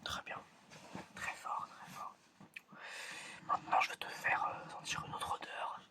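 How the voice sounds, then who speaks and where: An ASMR creator whispering a casual, encouraging roleplay test, softly repeating words. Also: a little slow. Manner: casual, encouraging, informal phrasing. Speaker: ASMR creator playing an examiner. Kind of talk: ASMR roleplay, testing the listener's senses.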